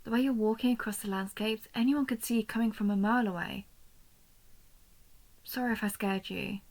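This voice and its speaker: Woman acting a gravel-edged, brooding vampire huntress. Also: medium pitch, fairly even intonation, brisk, a little mellow, close to an everyday voice. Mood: wry, watchful. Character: injured female vampire hunter of vampires, protective, proud, wry. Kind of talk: scripted audio roleplay addressed to the listener.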